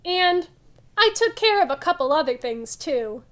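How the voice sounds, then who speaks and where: A woman voicing an excitable child storyteller from a picture book, with animated, emphatic delivery. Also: very high-pitched, a little slow, full character voice. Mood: excited.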